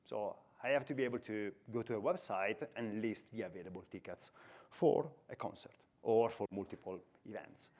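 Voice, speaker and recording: Italian-accented man, forties, relaxed storyteller on a conference stage, headset mic. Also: lowish voice. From Italy. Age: 40s. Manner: chatty storytelling with self-deprecating humor. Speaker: conference speaker. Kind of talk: conference talk.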